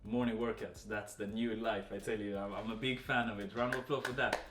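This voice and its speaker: A man's voice: on the low side, steady tone, brisk. A man hosting a group webinar as a fitness coach, talking casually in an encouraging, effusive way. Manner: effusive, encouraging, informal hype. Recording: roomy, distant webinar audio with faint music.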